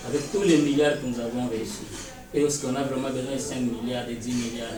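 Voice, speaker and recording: A man's voice: medium pitch, steady tone, fairly quick, a crowd in the background. A man from Kinshasa speaking emphatically and indignantly in a debate, repeating his points. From Congo (Kinshasa). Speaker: audience member. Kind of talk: impassioned debate intervention. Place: conference hall with audience. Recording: echoey hall, distant mic, audience.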